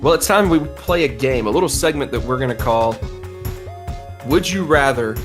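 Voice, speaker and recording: A man's voice: medium pitch, animated, moderate pace, music in the background. A man co-hosting a podcast in casual, mock-earnest banter with his friends.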